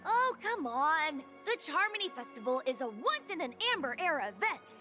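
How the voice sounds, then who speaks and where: An excitable, childlike festival fan in a video game. The voice is extremely high and leaps about with indignant eagerness. Music plays clearly behind. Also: female voice, normal speed, heavily acted.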